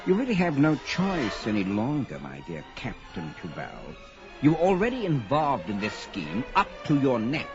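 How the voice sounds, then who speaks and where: An actor playing a suave, condescending, greedy royal viceroy scheming in a pulp fantasy audio drama. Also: male voice, mid-range voice, huge pitch swings, normal speed. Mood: smug menace. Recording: dull lo-fi, faint music.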